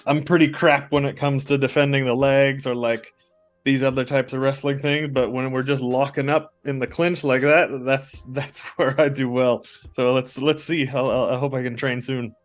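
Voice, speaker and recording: A young man who grew up between two countries, talking as a guest in a casual conversation, relaxed and halting with many restarts. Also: medium pitch, soft background music. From Norway and the US.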